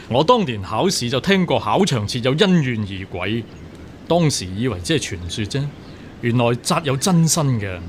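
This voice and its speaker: An actor playing a genial, reflective scholar-official in a radio drama. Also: male voice, mid-range voice, very animated, unhurried, slight background noise. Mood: genial, curious. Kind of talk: Cantonese radio drama acting.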